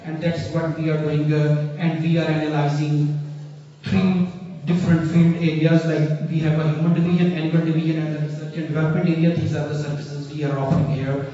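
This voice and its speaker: A young man with a Pakistani English accent gives a conference talk, slightly high and mellow in tone. He stops often on fillers as he counts items off. The sound is dull and distant, with a long echo from a large auditorium. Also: flat delivery. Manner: halting, many fillers and counted lists. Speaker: keynote speaker. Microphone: podium mic, recorded from the audience. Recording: roomy hall, distant dull phone recording.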